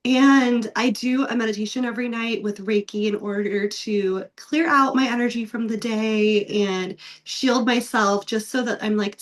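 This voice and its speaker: A woman in her thirties, raspy from a recent illness, chatting casually as a podcast co-host with many filler words. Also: mid-range voice. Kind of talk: casual chat.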